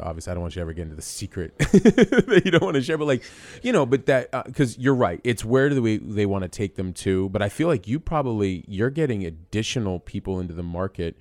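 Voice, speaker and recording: A man's voice: low voice, darkish tone, dry recording. A man hosting a casual podcast interview, asking long, rambling questions enthusiastically, with many restarts. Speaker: podcast host.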